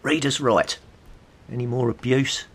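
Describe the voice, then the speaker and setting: A man's voice: mid-range voice, steady tone, measured pace. A middle-aged British man giving casual, rambling, nostalgic commentary, chatty and musing aloud as he goes. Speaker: hobbyist presenter.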